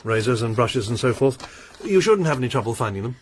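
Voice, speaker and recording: A man's voice: mid-range voice, expressive, a little fast, a little hiss or hum, close to an everyday voice. An actor playing a secretive male lodger with a formal, courteous way of speaking, in a radio drama. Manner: formal, courteous, slightly mannered.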